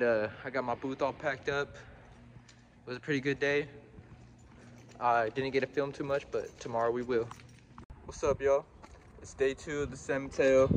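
Young man, casual slangy vlog talk, phone at arm's length, background noise. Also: mid-range voice. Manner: laid-back slangy talk to viewers. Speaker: reptile vendor vlogger. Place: car and busy expo hall. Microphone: handheld phone at arm's length.

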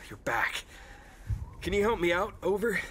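A stranded young soldier in a game, earnest and uneasy. His voice is a little high, speaking in clipped radio phrases over faint ambient noise. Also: big pitch swings, slow pace, bright, forceful tone, full character voice. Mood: relieved, uneasy. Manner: earnest radio procedure, short pleas. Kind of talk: video game dialogue.

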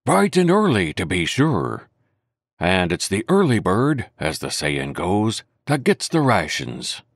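A man's voice: sits low, huge pitch swings, slow pace, no room sound, clean studio sound, full character voice. A man putting on a jovial old pirate cook. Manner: wheedling, jovial sea talk. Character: hearty old male one-legged pirate cook.

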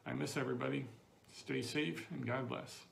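An older man reads scripture aloud in a heavily creaky, gravelly voice at a medium pitch. He is recorded on a phone in his living room, with faint background noise. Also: fairly even intonation, normal speed, a bit bright, poor audio. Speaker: congregation member reading scripture. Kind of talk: scripture reading. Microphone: phone at arm's length.

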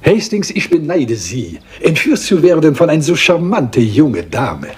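An actor playing a fussy, courteous foreign detective with a French accent, formal and pompous in a German radio crime drama. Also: male voice, fairly high pitch, big pitch swings, measured pace, big-room sound. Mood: genial, gallant.

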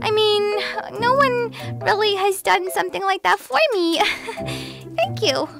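A woman doing a squeaky excitable baby angel girl. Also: slow pace, vocal fry, exaggerated voice. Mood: confused. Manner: high squeaky voice, jittery, shouts when frightened. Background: loud background music.

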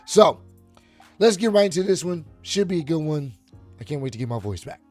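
A man in his thirties with a hoarse voice, hosting a casual reaction video from a home streaming room on a studio mic, chatting easily and breaking into loud laughs and shouts. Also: on the high side, lively intonation, brisk, faint music behind. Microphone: studio mic on arm, headphones.

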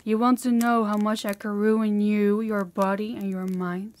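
A woman's voice: mid-range voice, level tone, unhurried, a little mellow, close to an everyday voice. A woman playing a harsh, dominant demon in an intimate audio roleplay, commanding and menacing, often dropping toward a whisper. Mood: cold, threatening. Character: harsh, dominant female demon training a human captive.

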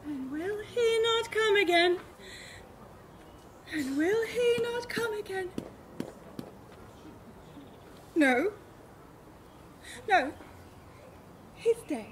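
Really high fragile mad young noblewoman, shakespeare stage style, slow n singsong, bit of background noise. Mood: grieving, singsong. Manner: drifting between singsong chanting and halting speech. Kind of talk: theatrical Shakespeare monologue, half sung.

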